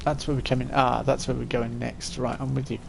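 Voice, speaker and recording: A man's voice: medium pitch, flat delivery, fairly quick, creaky, heavy background noise. A man in his forties giving casual live-stream commentary as he plays, chatting and thinking aloud. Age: 40s.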